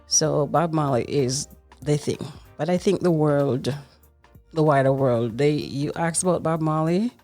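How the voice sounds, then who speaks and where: Deep-voiced middle-aged Jamaican woman in conversation. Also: measured pace, darkish tone, no room sound, faint music behind. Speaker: podcast guest. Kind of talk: conversational personal storytelling.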